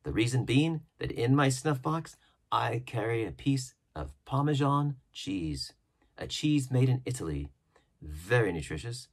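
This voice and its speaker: Man reading as a husky, wry gentleman ship's doctor. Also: mid-range voice, very animated, measured pace. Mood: wry, confiding. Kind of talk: storybook character reading.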